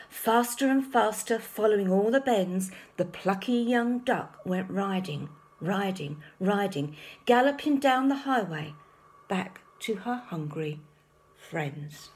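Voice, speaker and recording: Female storyteller, mid-range voice, slow expressive delivery of rhyming children's narration. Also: mid-range voice. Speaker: teacher reading aloud.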